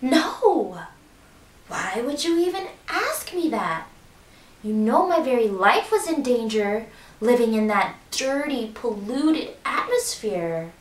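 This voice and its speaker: A woman's voice: fairly high pitch, sing-song, slow pace. A woman doing a proud storybook sun. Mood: refusing. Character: proud wary female sun. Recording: roomy echo, distant mic.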